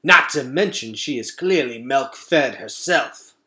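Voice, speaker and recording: A huge, hungry rat out of a storybook, acted by a man, haggling with menace. The delivery is emphatic and mock-polite, slow and sharp-edged. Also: mid-range voice, animated. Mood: greedy, savoring. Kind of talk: children's storybook read-aloud.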